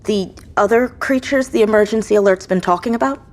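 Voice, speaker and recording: A woman's voice: medium pitch, fairly quick, darkish tone, natural voice. A woman playing an isolated, anxious survivor in an audio drama, with a hesitant, earnest, questioning delivery. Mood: uneasy, curious.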